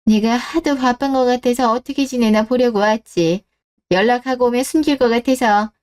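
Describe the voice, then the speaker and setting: A woman's voice: a little high, moderate pace, darkish tone, lightly acted. A woman playing a scornful, possessive middle-aged mother-in-law in a scripted radio drama, scolding and accusing. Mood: suspicious, disapproving.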